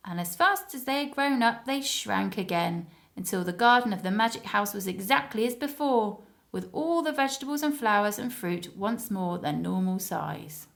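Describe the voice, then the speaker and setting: A woman's voice: mid-range voice, expressive, normal speed, vocal fry, fairly bright tone. A woman reading a story and chatting to young viewers in simple, child-directed phrases. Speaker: playgroup story reader and presenter.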